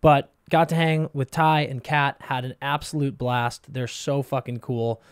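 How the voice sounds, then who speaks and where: A man in his thirties co-hosting a hockey podcast, reading a sponsor spot and chatting in an emphatic, pumped-up way on a studio boom mic. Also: fairly even intonation, a little slow, clear, resonant tone, no room sound. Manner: hyped, emphatic pitchman delivery. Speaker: podcast co-host. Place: studio with brick and wood walls. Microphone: boom-arm podcast mic.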